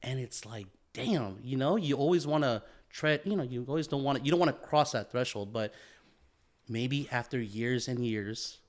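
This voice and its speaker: A man's voice: medium pitch, moderate pace. A man co-hosting a podcast, chatting casually, swearing and calling people bro and dude. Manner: laid-back, calls people bro and dude, swears often. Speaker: podcast co-host. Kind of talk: casual chat.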